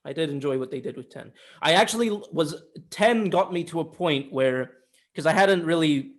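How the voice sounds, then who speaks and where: Young man with a slightly high voice. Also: moderate pace. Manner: opinionated, emphatic repetition. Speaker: film review podcast co-host. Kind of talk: casual film discussion.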